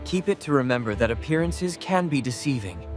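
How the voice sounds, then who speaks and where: A man playing a gracious, forgiving young prince in an animated fairy tale, speaking formally and earnestly. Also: mid-range voice, fairly quick, over music, lightly acted.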